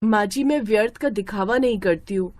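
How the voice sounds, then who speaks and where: A woman playing a kind, patient young village mother in a moral story, speaking gently and earnestly. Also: mid-range voice, a little fast, no room sound, clean studio sound, close to an everyday voice. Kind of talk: acted moral-story dialogue.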